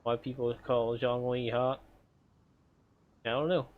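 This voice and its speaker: Chill gamer dude chatting with chat, chuckles a lot, kinda muffled lo-fi mic. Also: male voice, mid-range voice, unhurried. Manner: relaxed, chuckling, rambling. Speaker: streamer. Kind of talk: casual chat while gaming.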